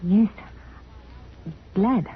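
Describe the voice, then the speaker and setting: Slow, halting, gentle frontier wife. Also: female voice, mid-range voice, slightly rough, heavily acted. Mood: shy, eager. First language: Arapaho. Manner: halting few-word broken English. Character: gentle Arapaho wife, eager and hospitable. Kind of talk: 1950s radio western acting. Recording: muffled, tinny old radio recording.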